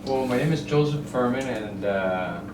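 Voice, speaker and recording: A middle-aged man from El Salvador speaks haltingly as a guest, stopping often on fillers and reaching for homely comparisons. He sits well back from the microphone in an echoey room, with steady ambient noise underneath. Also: mid-range voice. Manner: halting, many fillers and restarts, explains with homely analogies. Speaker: guest, real estate broker. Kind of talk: casual interview chat. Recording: roomy, distant mic, ambient noise.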